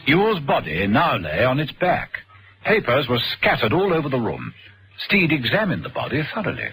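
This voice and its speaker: A man's voice: medium pitch, huge pitch swings, normal speed. A man narrating a vintage radio thriller in a dramatic, suspenseful storytelling manner. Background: loud music.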